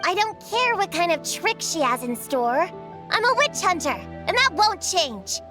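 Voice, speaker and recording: A woman voicing an earnest young girl in a game who calls herself a witch hunter and speaks in a halting, sincere way. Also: extremely high pitch, very animated, over loud music, full character voice. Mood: stubborn. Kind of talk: game voice acting.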